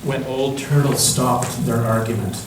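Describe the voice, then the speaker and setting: Male storyteller, unhurried and gentle read-aloud with long pauses; very reverberant room, distant mic. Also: mid-range voice, steady tone. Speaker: storyteller reading at a church. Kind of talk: slow picture-book read-aloud. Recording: echoey room from far off, background noise.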